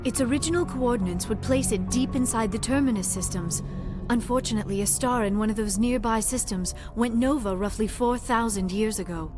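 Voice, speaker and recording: Woman as scholarly alien researcher, high voice, measured and formal, earnest, game dialogue, loud music behind. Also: close to an everyday voice. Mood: explanatory, grave. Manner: measured, formal, avoids contractions.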